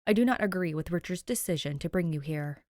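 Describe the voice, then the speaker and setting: A woman's voice: on the low side, lively intonation, brisk, darkish tone, dry recording, studio quality. A woman voicing a grumpy, guarded boy with formal, clipped, disdainful speech in an audiobook-style story. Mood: stiff disapproval.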